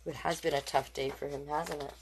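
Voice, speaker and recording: A woman's voice: low-pitched, fairly even intonation, fairly quick, slightly rough, low-quality recording. A woman hosting a children's storytime, reading picture books aloud with playful, encouraging asides to young listeners. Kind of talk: picture-book read-aloud with chatty asides.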